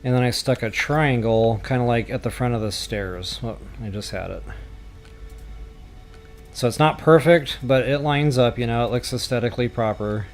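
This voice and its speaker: A man's voice: mid-range voice, moderate pace. A man hosting a video game building tutorial, informal and mildly self-deprecating as he thinks aloud. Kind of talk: casual screen-recorded game building tutorial. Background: music.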